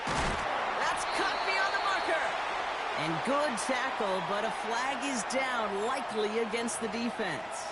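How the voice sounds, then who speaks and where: A woman playing an American play-by-play football commentator, calling the action in short, punchy phrases. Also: sits high. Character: brisk, excitable female football play-by-play announcer. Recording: loud stadium crowd noise throughout. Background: roaring stadium crowd.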